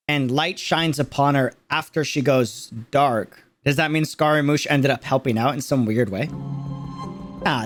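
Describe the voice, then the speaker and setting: Man, slightly high voice, livestream reaction commentary, emphatic, slangy, repeated words, faint music in the background. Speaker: streamer reacting to a game video. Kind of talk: livestream commentary, casual chat with viewers.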